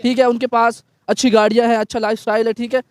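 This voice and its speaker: A male guest from Pakistan makes his case earnestly, his voice very high. The sound is close and dry. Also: flat delivery, fast talker, smooth, no room sound. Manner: earnest, repeats "theek hai".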